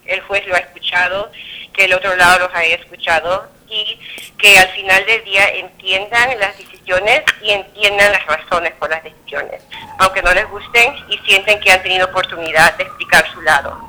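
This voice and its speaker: Bright-voiced woman judge, halting. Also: mid-range voice, fairly even intonation, rough recording. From Peru. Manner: halting, many fillers and self-corrections. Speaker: judge being interviewed. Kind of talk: conversational interview answers.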